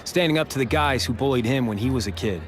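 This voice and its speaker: A man's voice: mid-range voice, fast, soft background music, close to an everyday voice. An actor playing a young male tech heir, friendly but busy and a bit distracted.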